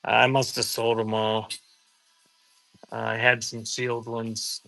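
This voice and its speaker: A man with a bright, forceful voice of average pitch hosts a casual live sale. He talks off the cuff at a slightly relaxed pace, muttering to viewers between items. Music plays behind him. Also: takes it slowly, creaky, poor audio. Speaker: live auction seller and host. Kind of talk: casual live-selling chat.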